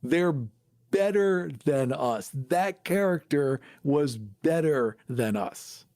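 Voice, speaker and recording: A man's voice: a little high, lively intonation, takes it slowly, a little mellow, no echo, clean studio sound. A man from the United States chatting casually as a podcast co-host, arguing his points with emphasis. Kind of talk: casual chat about tabletop gaming.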